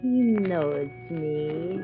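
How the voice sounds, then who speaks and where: Slow, silky cobra-charming villainess. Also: female voice, sits low, expressive, music in the background, exaggerated voice. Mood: menacing, hypnotic. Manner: slow, incantatory, mock-polite. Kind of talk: 1940s radio acting. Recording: tinny, noisy old radio recording.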